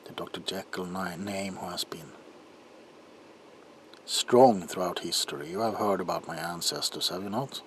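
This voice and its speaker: A man playing a troubled, desperate doctor in an ASMR roleplay monologue, speaking haltingly in a hushed voice that often drops toward a whisper. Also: low-pitched, big pitch swings, creaky, heavily acted. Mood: desperate, confessional. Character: troubled doctor protesting innocence, desperate and haunted. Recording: audible background noise.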